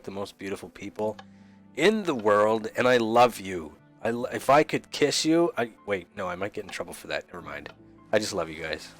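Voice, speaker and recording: Goofy, rambling man, a bit sniffly. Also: mid-range voice. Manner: goofy, rambling, jokey, affectionate toward viewers. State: slightly sick and sniffly. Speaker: YouTube host reviewing game resource packs. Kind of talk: casual gameplay commentary.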